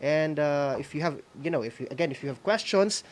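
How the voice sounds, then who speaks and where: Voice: medium pitch, lively intonation, fairly quick, slight background noise. Filipino co-host, hesitant and chatty. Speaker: co-host of a school-on-the-air program. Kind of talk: casual hosting, unscripted reminders to participants.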